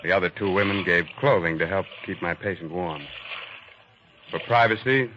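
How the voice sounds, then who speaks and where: An actor playing an earnest, reflective ship's doctor, narrating solemnly and deliberately in a 1940s radio drama. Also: male voice, low voice, noisy background, close to an everyday voice. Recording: muffled vintage 1940s radio recording.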